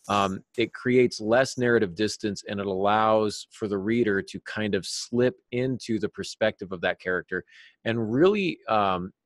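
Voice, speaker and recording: A man hosting a podcast discussion on writing craft, explaining in a halting way with wry asides. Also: fairly low pitch, no room sound. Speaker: podcast host and writing teacher.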